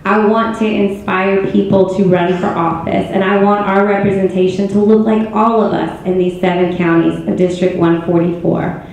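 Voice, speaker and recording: Hoarse Southern woman in an echoey hall. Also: medium pitch, level tone, moderate pace, darkish tone, slight background noise. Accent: Southern American. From Georgia, US. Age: 40s. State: hoarse, strained voice. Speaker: political candidate. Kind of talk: campaign speech at a forum. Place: city auditorium. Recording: echoey hall, muffled sound.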